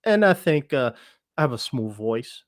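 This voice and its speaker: A man with a medium-pitched voice talks candidly as a podcast guest. He sounds self-assured and reflective, wandering a little as he tells his own story. The sound is dry, with little room echo. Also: very animated, moderate pace. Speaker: podcast guest, actor and entertainer. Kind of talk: candid casual conversation, telling their own story. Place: online video call for a livestream podcast.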